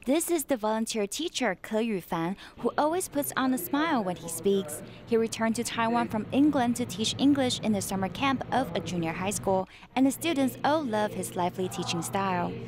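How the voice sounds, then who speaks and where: A female reporter reads her piece evenly and at a measured pace. Her voice is fairly high, and people chatter clearly behind her. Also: expressive. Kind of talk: scripted news report.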